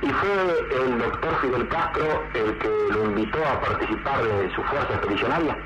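A man's voice: mid-range voice, moderate pace, muffled recording. A man working as a radio journalist, putting formal, direct questions to a guest in an archival interview. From Argentina. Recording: distant, noisy old radio recording.

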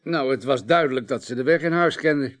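An actor playing a formal, contrite undertaker in a radio play, speaking in precise, legalistic phrases with hesitations. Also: male voice, medium pitch, brisk, close to an everyday voice. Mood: careful recounting. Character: formal, contrite male undertaker giving a careful statement. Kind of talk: 1980s Dutch radio play acting.

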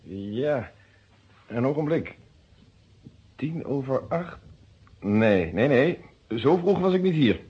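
A man's voice: medium pitch, big pitch swings, slow, long pauses, husky, full character voice. A man playing a methodical, unyielding police inspector in a Dutch detective radio play, speaking in formal, precise phrases. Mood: grave. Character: methodical, unyielding police inspector questioning his own friend. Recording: dull lo-fi old radio recording.

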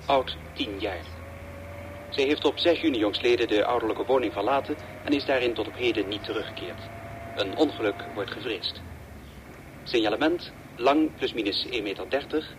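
An actor playing a solemn radio announcer who reads a police bulletin in a formal, scripted way. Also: male voice, mid-range voice, a little hiss or hum, lightly acted, low-quality recording.

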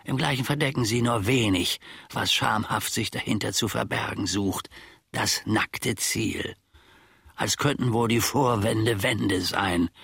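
An actor playing an aggrieved, brooding old manservant in a theatrical radio play, with stilted archaic diction and sudden drops into whispering. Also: male voice, fairly low pitch, gravelly, bright, forceful tone, husky, no room sound, clean studio sound, exaggerated voice. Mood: brooding suspicion. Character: aggrieved, brooding old manservant scheming against his master.

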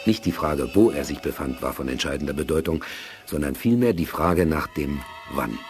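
A deep-voiced male storyteller narrates a 1980s science fiction radio play. His delivery is solemn, with music clearly playing beneath him. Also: expressive, normal speed. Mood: solemn, suspenseful. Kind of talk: 1980s German audio drama narration. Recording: music under the voice.

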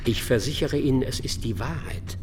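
A man's voice: mid-range voice, animated, normal speed, in a large hall, lightly acted. An actor playing a defensive, bitter middle-aged bar owner in a German crime radio play, evasive and coarse as he justifies himself under questioning. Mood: guarded. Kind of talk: German radio drama acting.

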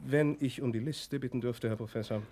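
A man's voice: mid-range voice, fairly even intonation, fairly quick, dark tone, a little hiss or hum, natural voice. An actor playing a coldly zealous male Nazi doctor in a radio drama, clipped, formal and officious. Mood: cold, insistent. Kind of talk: German radio drama acting.

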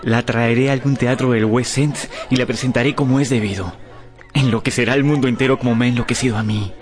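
Passionate young gentleman over music, played by an actor. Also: mid-range voice, lightly acted. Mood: excited. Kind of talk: radio drama acting. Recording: music behind the voice.

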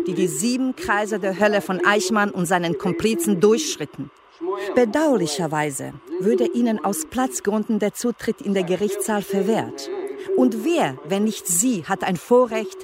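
An actress playing a citizen who reads a formal, earnest letter aloud in a radio drama. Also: female voice, medium pitch, animated, normal speed, close to an everyday voice. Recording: loud crowd behind the voice. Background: crowd.

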